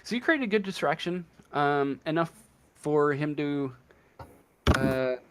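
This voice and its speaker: A man running a casual tabletop game as game master, improvising the narration in a hesitant, halting way. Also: on the high side, animated, clean tone.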